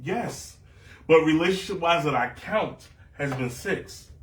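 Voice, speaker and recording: A man in his thirties hosting a casual group chat, telling stories in an animated way and repeating phrases when he is talked over. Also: on the high side, a little slow. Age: 30s. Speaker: podcast host. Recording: echoey room, mic at a distance.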